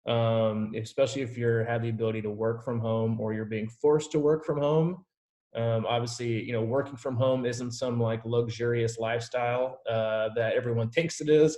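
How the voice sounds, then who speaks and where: A man's voice: mid-range voice, darkish tone. Man coaching casually on a video call. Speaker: triathlon coach hosting a team call. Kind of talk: answering questions on a group video call.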